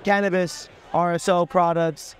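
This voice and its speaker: A man's voice: sits high, level tone, measured pace, clear, resonant tone. A man in his thirties hosting a casual interview at an expo booth, chatty and enthusiastic with loose restarts.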